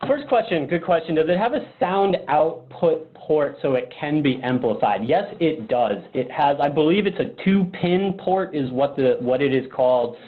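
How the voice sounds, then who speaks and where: A man's voice: fairly high pitch, expressive, moderate pace, hardly any pauses. A man presenting a product webinar casually, informal and thinking aloud in a hesitant way. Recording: phone-like narrow-band webinar audio.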